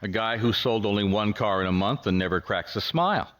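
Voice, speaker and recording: An actor with an American accent playing a wry, put-upon 1950s private detective in a radio drama, plain-spoken and a little hesitant. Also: male voice, on the low side, brisk, fairly bright tone, lightly acted. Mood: tentative, probing. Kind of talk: radio drama acting with first-person detective narration.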